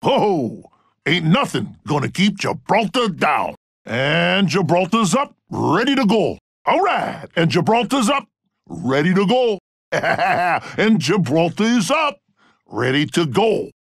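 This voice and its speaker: An actor playing a big, jolly, boisterous fighter in a video game. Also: male voice, high voice, sing-song, slow, gravelly, dry recording, clean studio sound, full character voice. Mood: hearty, triumphant. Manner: hearty, boisterous. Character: big, jolly, booming male shield fighter.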